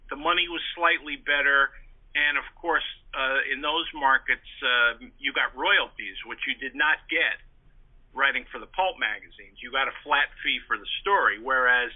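A man's voice: mid-range voice, moderate pace. A man giving detailed, talkative explanations as a historian guest in an informal interview, speaking over the telephone. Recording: narrow-band phone-like radio line.

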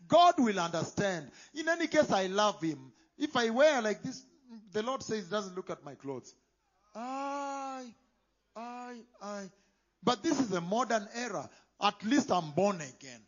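A man preaching a sermon, emphatic, moving between loud declarations and hushed whispers. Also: sits high. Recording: dull lo-fi sound.

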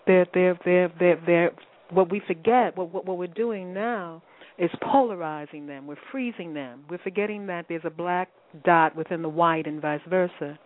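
Woman host teaching over a phone line. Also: fairly low pitch. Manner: reflective, teacherly, with restarts. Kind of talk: spiritual call-in radio talk. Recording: phone-line call-in audio.

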